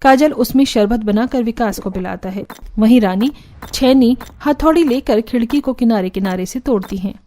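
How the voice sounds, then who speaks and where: A woman's voice: a little high, moderate pace, mellow. A woman reading scripted storybook narration for a cartoon.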